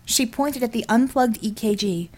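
A woman's voice: fairly high pitch, moderate pace, darkish tone. A woman reading a scripted story as an audiobook narrator, in a dramatic storytelling style.

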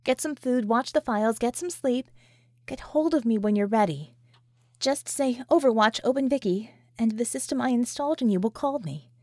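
A woman voicing a brisk, sassy but caring female friend in an audiobook, with breezy, slangy, bossy delivery. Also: on the high side, mellow, no echo, clean studio sound, natural voice. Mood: brisk, instructing. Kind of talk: audiobook character dialogue.

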